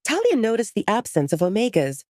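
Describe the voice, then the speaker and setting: A woman's voice: a little high, wildly swooping pitch, brisk, mellow, no echo. A woman reading scripted dramatic story narration as an audio drama narrator, recorded close on a studio microphone.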